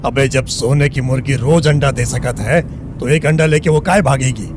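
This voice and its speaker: A man's voice: mid-range voice, moderate pace, noisy background, natural voice. A man playing a hot-tempered, coarse small-town bully in an audio drama. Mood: brooding, aggrieved. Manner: coarse, rustic, swearing speech. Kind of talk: Hindi audio drama acting. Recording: music bed under the voice.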